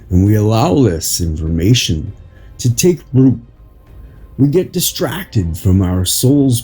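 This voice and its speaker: A man narrates an inspirational piece in a soft-edged, mellow voice with a strongly husky grain. He takes it very slowly, phrase by deliberate phrase, over background music. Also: lowish voice. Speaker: podcast host and article reader. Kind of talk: slow read narration of an inspirational article.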